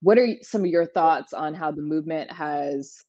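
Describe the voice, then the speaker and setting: A woman moderating a talk, asking long, winding questions in a relaxed conversational way. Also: low-pitched, moderate pace, no echo, lo-fi sound. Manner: long rambling questions with light laughs.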